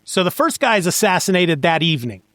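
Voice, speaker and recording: A man with a high voice talks casually as a podcast co-host. He retells plot points in an animated, conversational way, and the sound is dry with very little room. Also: fast, dry recording. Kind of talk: casual comic book discussion.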